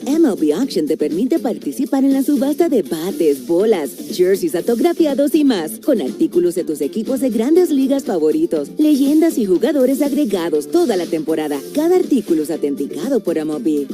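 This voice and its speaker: A woman delivers a scripted promo at a quick clip. Her medium-pitched voice moves around in a lively way, with music clearly playing underneath. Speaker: advertisement announcer. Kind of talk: scripted Spanish-language promo read. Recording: produced ad over music. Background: music bed.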